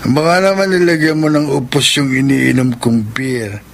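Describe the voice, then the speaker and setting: An actor with a Filipino accent playing a mild, loyal young writer in a radio drama, polite and hesitant. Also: male voice, mid-range voice, fairly even intonation, normal speed, gravelly, a little hiss or hum, lightly acted. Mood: relaxed. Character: mild, loyal young screenwriter, flustered by advances.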